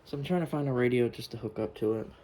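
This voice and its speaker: A man's voice: medium pitch, racing, warm tone. A man giving a casual, unscripted show-and-tell in an informal, mumbly way, recorded at home. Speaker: hobbyist showing a weather radio collection. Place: room at home. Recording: noisy home recording with clicks.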